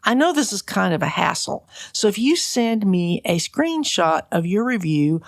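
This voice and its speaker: An American woman hosts a podcast interview in a conversational style. Her voice sits low and has a strong creak. The sound is close and very dry. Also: no room sound.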